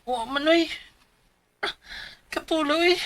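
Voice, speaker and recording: A woman's voice: sits high, slow pace. A woman with a Cebuano Filipino accent voicing a sickly, suffering young boy, whiny and pitiful, in a radio drama. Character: sickly, suffering little brother, young child.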